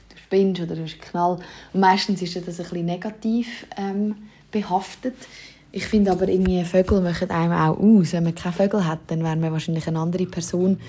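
A woman's voice: lowish voice, warm tone, breathy edge. A young woman with a Swiss German accent, a singer-songwriter casually answering interview questions with many fillers.

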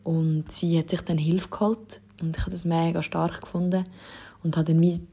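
A woman's voice: fairly low pitch, flat delivery, normal speed, warm tone, slightly rough. A young woman with a Swiss German accent, a singer-songwriter casually answering interview questions with many fillers.

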